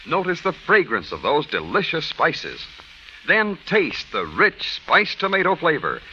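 A man's voice: fairly high pitch, wildly swooping pitch, measured pace, bright, forceful tone, a little hiss or hum. A man announcing and selling in polished, emphatic 1940s radio commercial style. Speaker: radio announcer reading sponsor ads. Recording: muffled vintage radio recording.

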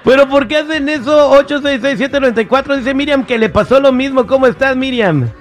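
A man's voice: very high-pitched, fairly quick. A man hosting a call-in radio show, joking with callers and laughing often.

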